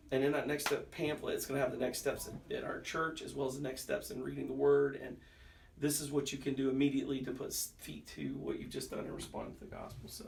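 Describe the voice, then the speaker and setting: A man's voice: mid-range voice, creaky. A man talking casually as a vlogger, in the manner of a pastor chatting informally. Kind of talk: casual vlog talk. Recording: noisy, distant handheld camera sound.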